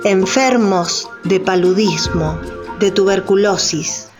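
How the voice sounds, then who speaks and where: A woman's voice: mid-range voice, takes it slowly, dull audio, music in the background. A woman narrating and announcing scripted radio theatre.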